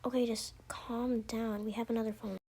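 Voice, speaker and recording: A woman's voice: a little high, level tone, normal speed, mellow, a little hiss or hum, lightly acted. A woman voicing a soothing but easily exasperated mother in an amateur roleplay story. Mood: comforting.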